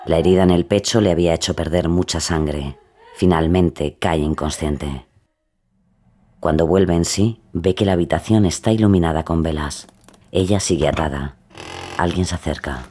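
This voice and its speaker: Very deep-voiced male true-crime narrator. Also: normal speed, mellow.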